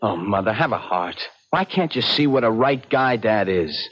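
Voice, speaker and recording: Earnest, husky-voiced young son. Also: male voice, fairly low pitch, expressive, normal speed, a bit bright. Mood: pleading. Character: earnest, pleading young son defending his father. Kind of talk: 1970s radio drama acting. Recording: muffled vintage radio recording.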